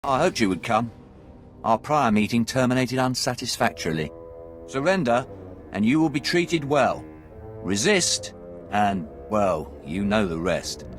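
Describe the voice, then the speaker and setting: Swooping, formal Imperial villain. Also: male voice, mid-range voice, sing-song, unhurried. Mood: smug threat. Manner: formal, condescending, theatrical. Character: cold, courteous Imperial villain, dutiful male commander. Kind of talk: video game acting. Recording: prominent music behind the voice.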